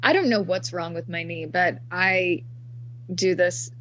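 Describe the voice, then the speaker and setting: A woman's voice: on the low side, fairly bright tone. Female guest, about 30, chatty and upbeat, muffled take with faint hum. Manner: chatty, upbeat, quick to laugh. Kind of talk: casual interview chat.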